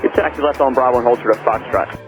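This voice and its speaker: A man's voice: mid-range voice, quick pace. A man working as an air traffic controller, giving instructions and bantering over the radio. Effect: aviation radio. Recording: dull lo-fi aviation radio, music underneath.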